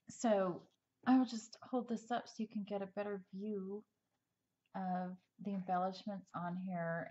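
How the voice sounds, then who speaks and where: Woman crafter, slightly hoarse, lower voice, rambling tutorial delivery, lo-fi home recording. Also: a little mellow. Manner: chatty, rambling, frequent ums and restarts. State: slightly hoarse, losing her voice. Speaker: crafter showing a handmade project. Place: indoors at a craft table.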